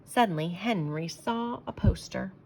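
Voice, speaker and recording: A woman reading a children's storybook aloud as an expressive storyteller, with emphatic phrasing. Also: medium pitch, a little slow, creaky, slight background noise.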